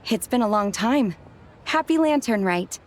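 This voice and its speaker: An actress playing a calm, polite, earnest young woman in a video game, measured and literal-minded. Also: fairly high pitch. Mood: courteous, helpful.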